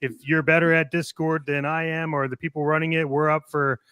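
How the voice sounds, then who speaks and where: A man's voice: on the high side, level tone, a little fast, sharp tone, smooth, no echo, clean studio sound. A man hosting a casual livestream, talking to the audience and signing off. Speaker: show host. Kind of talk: casual livestream sign-off talk.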